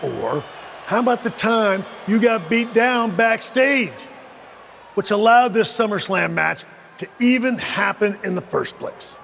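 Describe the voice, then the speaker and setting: An actor playing a domineering male wrestling faction leader in a video game, speaking in a slow, measured, condescending way. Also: sits high, animated, gravelly, a bit bright, slight background noise, full character voice. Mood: menacing.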